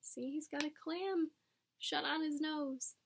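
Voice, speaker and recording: A woman reading a picture book aloud to children like a teacher, animated and explanatory, acting out lines and adding asides. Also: high voice, slow pace, vocal fry, noisy background.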